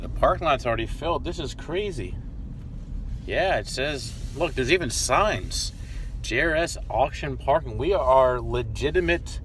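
A man in his thirties rambles cheerfully to a dashboard phone as he drives, tossing in self-deprecating asides. His voice sits at an ordinary middle pitch. Clear road and engine noise runs under him inside the car. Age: 30s. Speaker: vlogger. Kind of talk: casual chat while driving. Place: inside a car on a sunny day. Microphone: phone camera on the dashboard.

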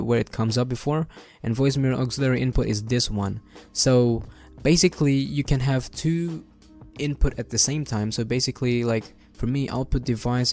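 A young adult man hosting an informal software tutorial, explaining things step by step in a casual way. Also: mid-range voice. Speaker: tutorial host. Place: indoor room at a desk.

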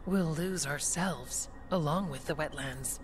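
A practical dwarf warden in a fantasy game. Her voice sits fairly low and she talks firmly and directly, with faint music behind. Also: unhurried. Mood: resolute. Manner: firm, direct. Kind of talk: video game dialogue acting.

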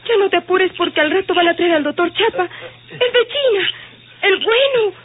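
Very high-voiced, tender Mexican wife. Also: female voice, lively intonation, moderate pace. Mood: soothing, anxious. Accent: rural Mexican. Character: anxious, tender young rural wife nursing her husband. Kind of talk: old Mexican radio drama acting. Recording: tinny old radio recording.

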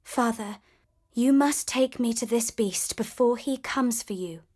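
A woman reading as a gentle young storybook heroine with a soft, hushed voice. She speaks slowly and sincerely, a touch higher than usual. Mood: earnest.

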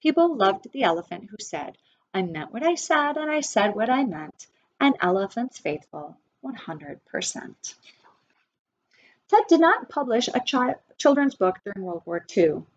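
A middle-aged woman reading a children's picture book aloud expressively, with deliberate phrasing for young listeners. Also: fairly high pitch, very animated. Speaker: read-aloud storyteller for children. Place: wood-panelled room indoors. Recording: slightly dull webcam sound.

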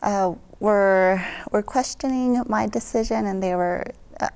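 Young mexican-american mom, spanish first language, creaky voice, thinks out loud. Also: female voice, medium pitch, measured pace. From Mexican-American family. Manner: thoughtful, with frequent fillers. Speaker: parent panelist. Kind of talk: studio panel discussion. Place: dark TV studio set. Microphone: lapel mic.

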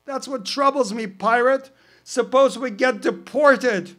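A man's voice: very high voice, takes it slowly, fairly bright tone, pitched above the performer's own voice, heavily acted. A man reading a poem aloud, voicing a fussy, anxious male parrot in prim, indignant tones. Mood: worried. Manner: worried, indignant, prim speech. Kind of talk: poetry reading, acted dialogue.

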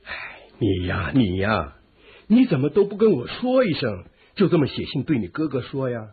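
An actor playing a timid, lecherous royal uncle in a radio drama, fretful, whining and easily alarmed. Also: male voice, mid-range voice, wildly swooping pitch, normal speed, slightly rough, full character voice. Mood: alarmed, pleading. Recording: muffled, tinny phone-like radio drama recording.

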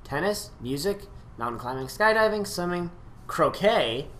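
A man hosting a casual let's-play, reading game text aloud and commenting excitably. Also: sits high, measured pace, a little hiss or hum. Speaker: host of a gaming video.

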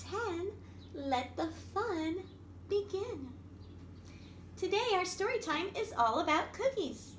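Storytime lady reading to little kids, really high singsongy voice, slow, bit echoey w some background noise. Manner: expressive, sing-song, addressing young children with questions. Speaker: children's librarian, storytime host. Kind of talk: picture-book read-aloud for young children. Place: indoor room at a wooden table by a window. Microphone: camera across the table.

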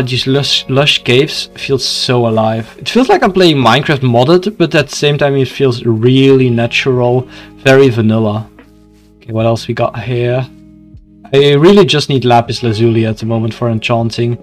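Young Belgian man livestreaming, Dutch accent. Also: mid-range voice. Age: young adult. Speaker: video game livestreamer. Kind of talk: casual live gaming commentary with chat.

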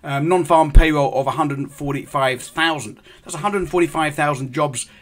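A middle-aged man gives live commentary on currency markets to his stream viewers. He explains things step by step, pausing often on ums and starting sentences over. He sounds like he is in a small indoor room, speaking into a desk microphone. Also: on the high side. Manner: explanatory, halting with many ums and restarts. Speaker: forex trading host.

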